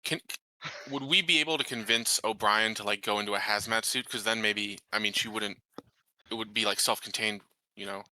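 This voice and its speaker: A man talking casually as a player in a tabletop roleplaying game, with a tentative, halting delivery. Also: on the low side, fairly even intonation, bright, forceful tone, low-quality recording.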